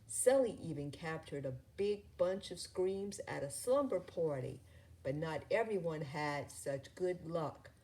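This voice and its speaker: A woman reading a children's story aloud in a deliberate, sometimes halting way. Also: deep voice, expressive, dark tone. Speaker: storybook reader.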